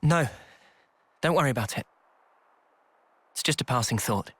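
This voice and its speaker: A man's voice: mid-range voice, lively intonation, normal speed, fairly bright tone, slightly rough, no room sound. An actor voicing an earnest, guarded young male soldier in a video game cutscene. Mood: guarded, subdued.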